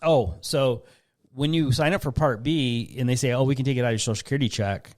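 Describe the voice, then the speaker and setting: A man's voice: medium pitch, fast talker. A man with an American Midwestern accent hosting a casual podcast, rambling through listener questions with jokey asides and interrupting himself. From Lansing, Michigan. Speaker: podcast host.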